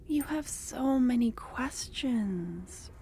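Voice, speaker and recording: A woman voicing an obsessive, possessive young cultist in an ASMR fantasy roleplay, speaking in a soft, breathy half-whisper that coaxes and soothes. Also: fairly high pitch, animated, drawn-out words, a little hiss or hum. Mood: soothing, possessive.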